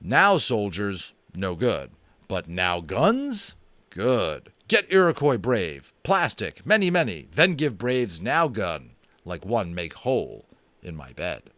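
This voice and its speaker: A man doing a gruff, stern chief. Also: fairly low pitch, very animated, slow pace, a bit bright, no echo, heavily acted. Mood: insistent. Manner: clipped broken phrasing.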